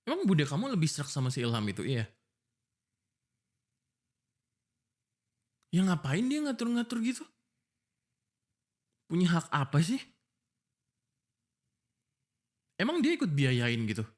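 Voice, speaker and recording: A man's voice: fairly high pitch, wildly swooping pitch, fairly quick. A man playing an exasperated, jealous young fiancé in a boyfriend audio roleplay, arguing emotionally with pointed questions. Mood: indignant. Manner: emotional, argumentative, pleading, full of pointed questions. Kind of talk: boyfriend ASMR roleplay acting.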